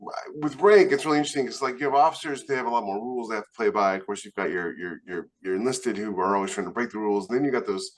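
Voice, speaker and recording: A man speaking casually as a podcast co-host and author, telling things in a halting, animated way. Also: lowish voice. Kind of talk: casual panel chat.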